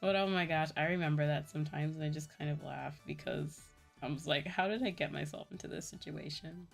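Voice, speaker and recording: A young adult woman streamer telling personal stories in a casual, animated, giggly chat style. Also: deep voice, fairly quick, vocal fry. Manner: animated retelling with acted-out quotes and frequent giggles. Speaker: streamer, VTuber host. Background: background music.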